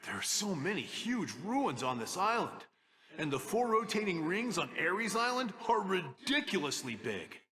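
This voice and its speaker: A blunt, proud young echidna warrior from a video game. His voice is high for a man and very bright, with long hall reverb around it. He sounds earnest and forceful. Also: very animated, moderate pace, full character voice. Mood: stern. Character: young male echidna guardian, hot-headed, proud and dutiful. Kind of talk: video game cutscene acting.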